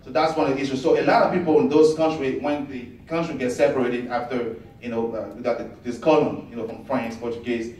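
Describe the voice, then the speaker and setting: A man from Ivory Coast answering audience questions after his presentation, halting with many fillers and restarts. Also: medium pitch, steady tone, a little mellow. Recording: echoey room, far from the mic, faint noise.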